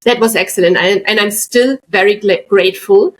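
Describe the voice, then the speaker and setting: A woman's voice: medium pitch, normal speed, slight background noise. Middle-aged woman, podcast guest, chatty anecdote teller. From Germany, Bavaria. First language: German. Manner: chatty, tells anecdotes with frequent asides. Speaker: guest, artist. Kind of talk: casual podcast chat, storytelling.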